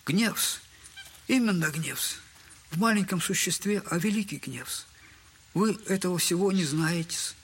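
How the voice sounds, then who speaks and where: High-voiced, servile, humiliated father. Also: a little slow, fairly bright tone, a little hiss or hum. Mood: sorrowful, tender. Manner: servile, old-fashioned, wordy, self-mocking speech. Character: humiliated, buffoonish impoverished father, bitter and tender. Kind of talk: Russian literary audio drama acting.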